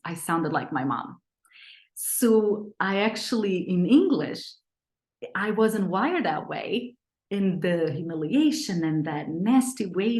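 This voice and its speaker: A woman's voice: mid-range voice, darkish tone. A woman whose first language is Portuguese, leading a live online parenting workshop as coach. She speaks earnestly and conversationally, reading audience chat replies aloud.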